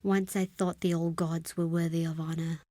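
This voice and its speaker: Low-voiced, proud desert tribeswoman. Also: flat delivery, normal speed, warm tone, dry recording, studio quality. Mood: bitter, shaken. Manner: solemn, formal, imperious. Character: proud, stern desert tribe woman, second-in-command, wary of outsiders. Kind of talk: dramatic video game character acting.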